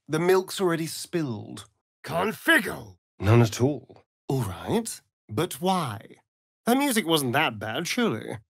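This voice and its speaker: An actor playing a centuries-old, flamboyant and sardonic vampire rogue in a video game, with an arch, theatrical drawl. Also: male voice, mid-range voice, creaky, husky, no room sound, studio quality, exaggerated voice. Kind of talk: video game character acting.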